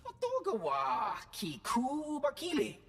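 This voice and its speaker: An actor playing a belligerent alien pirate brawler who growls in a made-up tongue for a video game cutscene. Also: male voice, very high voice, huge pitch swings, slow pace, gravelly, fairly bright tone, full character voice. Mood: menacing.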